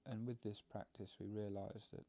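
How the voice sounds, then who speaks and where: A man leading a guided meditation, explaining it gently in halting, unscripted phrases. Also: fairly low pitch, flat delivery. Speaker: meditation teacher.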